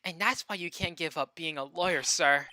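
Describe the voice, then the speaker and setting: Young male game streamer, joking and excitable, slightly high voice with a sharp, bright tone. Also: normal speed, slight background noise. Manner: excitable, joking, swings between shouting and muttering. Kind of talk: casual gameplay commentary.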